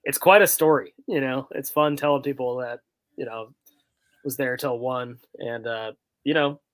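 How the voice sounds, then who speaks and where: A man's voice: mid-range voice, moderate pace, no room sound. A man chatting casually as a soccer fan podcast panelist, talking loosely with many filler words. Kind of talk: casual chat.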